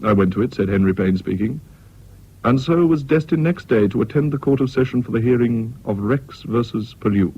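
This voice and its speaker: An actor playing a reflective British gentleman narrating his own story in a radio drama, in a literary, deliberate manner. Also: mid-range voice, slightly rough. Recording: dull, muffled old radio recording.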